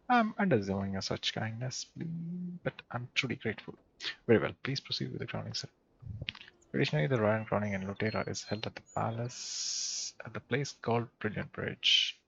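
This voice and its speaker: A man streams a game in a low voice with a gravelly edge. He mutters casually to himself as he plays and reads dialogue aloud. The sound is slightly muffled, with music playing behind him. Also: a bit bright. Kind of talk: casual gameplay commentary, reading quest dialogue aloud.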